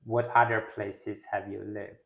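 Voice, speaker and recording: A man's voice: fairly low pitch, little pitch movement, a little slow, creaky, a bit bright. A man interviewing a guest, who asks short questions and gives brief, encouraging replies. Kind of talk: casual interview conversation.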